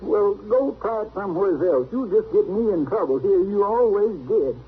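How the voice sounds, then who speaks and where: A man's voice: high-pitched, lively intonation, moderate pace, slightly rough, phone-quality sound, heavily acted. An actor playing an exasperated, bossy frontier lawman's helper with a folksy Southern drawl, in old radio western style. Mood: scolding. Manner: scolding, querulous. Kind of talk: 1950s radio western acting. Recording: band-limited, noisy old radio recording.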